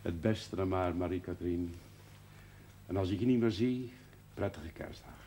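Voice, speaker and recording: A man's voice: low voice, moderate pace, lightly acted. An actor playing an amiable, persistent businessman in a conversational, probing way in a television drama. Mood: helpful. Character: amiable, persistent businessman, expectant father, old rival. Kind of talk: naturalistic television drama acting. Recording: faint noise, 1970s television soundtrack.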